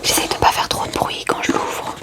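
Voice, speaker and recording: Whispering Frenchwoman, close-miked ASMR. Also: normal speed. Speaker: ASMR host showing a card collection. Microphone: close ASMR microphone.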